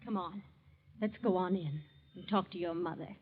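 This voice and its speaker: A woman's voice: fairly low pitch, moderate pace, husky. A woman playing a scheming, cold-blooded young wife in a 1940s radio drama, insistent and urging. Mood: calculating. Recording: muffled 1940s radio recording.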